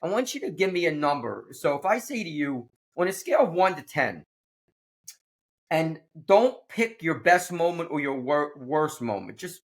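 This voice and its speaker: A man hosting a casual leadership conversation, upbeat and quick to laugh, often restarting his sentences. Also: a little high, big pitch swings. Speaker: podcast host.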